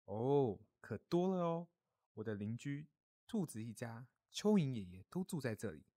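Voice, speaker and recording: A man voicing a kindly grown-up mole in a children's audio story, gentle, caring and reassuring. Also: medium pitch, big pitch swings, moderate pace, no echo, studio quality. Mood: hospitable.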